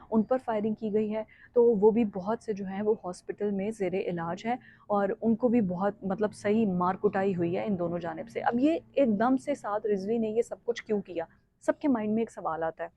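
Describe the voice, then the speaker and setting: Female Pakistani current affairs commentator, emphatic delivery, warm mid-pitched voice, echoey slightly muffled recording. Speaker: vlogger, news commentator. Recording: echoey room, slightly dull sound.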